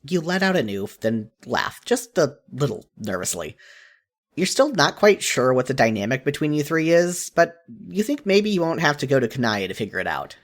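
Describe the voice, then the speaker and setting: A man narrating a scripted story in the second person with an expressive storytelling delivery. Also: on the high side, normal speed.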